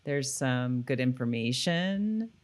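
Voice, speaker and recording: A woman's voice: extremely low pitch, lively intonation, slow, a little mellow. A woman co-hosting a podcast, talking casually as she shares thoughts and recaps an episode. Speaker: podcast co-host. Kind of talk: casual chat and read episode summary.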